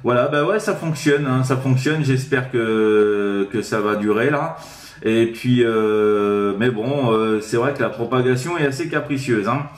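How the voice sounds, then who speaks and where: A man's voice: mid-range voice, measured pace, reverberant. A man making casual radio-contact chat as an amateur operator, repeating himself and ending phrases on little tag words. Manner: repetitive, with frequent tag words. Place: indoors at home, sixth-floor flat.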